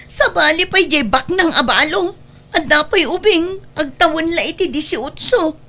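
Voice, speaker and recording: A woman acting a jilted young Ilocano daughter in a radio-style comedy drama. Her voice is high and creaky, with a sharp edge. She pours out her grievances in a tearful, whining way. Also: lively intonation, normal speed, exaggerated voice. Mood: aggrieved. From Philippines. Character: jilted, pregnant young daughter, whiny and aggrieved.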